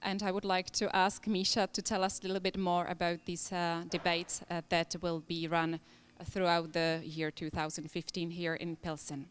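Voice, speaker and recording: A woman's voice: fairly low pitch, level tone, a little hiss or hum. A woman with a Czech accent, a native Czech speaker, giving a polite formal welcome in English as a European Commission representative. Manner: polite, measured, with fillers.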